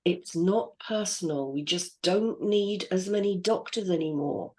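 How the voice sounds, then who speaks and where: A woman reading a gruff male supervisor. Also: fairly low pitch, unhurried, a little mellow, lightly acted. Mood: awkward. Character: gruff male hospital supervisor in his fifties. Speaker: actor in a script reading. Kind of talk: script read-through.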